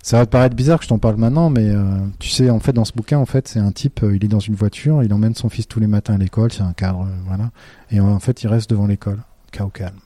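A man's voice: lowish voice, quick pace, warm tone, no room sound. A middle-aged man, a teacher from France, talking in a thoughtful, hesitant way with many fillers and restarts. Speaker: teacher, podcast guest. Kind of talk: casual interview talk.

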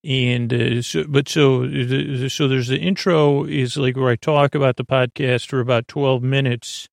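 A man with a creaky voice hosting a sleep podcast, meandering haltingly through a goofy, self-deprecating bedtime monologue. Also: mid-range voice, fairly even intonation, no echo, studio quality. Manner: meandering, halting, trails off and repeats himself. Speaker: podcast host. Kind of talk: rambling bedtime monologue for falling asleep.

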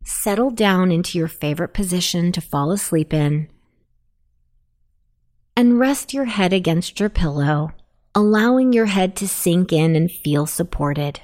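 Female sleep meditation narrator, slightly low pitch, very unhurried pacing, soothing and deliberate delivery. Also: expressive, darkish tone. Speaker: meditation guide.

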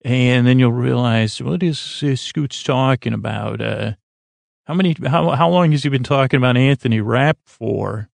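A man hosting a sleep podcast in a lulling, soothing way, rambling unscripted, stumbling and trailing off mid-thought with gentle self-deprecation. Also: mid-range voice, normal speed, creaky, no echo. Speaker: podcast host.

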